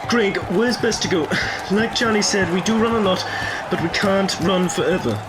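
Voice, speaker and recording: A man's voice: high-pitched, moderate pace, lightly acted. A man acting a breezy, playful, youthful-minded ancient time traveller in an audio drama over street noise. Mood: urgent. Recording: very noisy outdoor street ambience.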